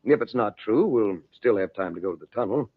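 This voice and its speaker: An actor playing a calm, authoritative adventurer hero, firm and reassuring, in an old-time radio serial. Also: male voice, fairly low pitch, brisk, slightly rough. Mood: commanding. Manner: firm, reassuring, take-charge. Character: calm, authoritative magician hero, protective uncle. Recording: tinny, band-limited old radio recording.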